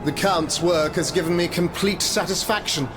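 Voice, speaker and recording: A man's voice: high voice, moderate pace, over music, close to an everyday voice. A man playing a haughty French king in a video game drama, formal, imperious and scornful. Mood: cold disdain.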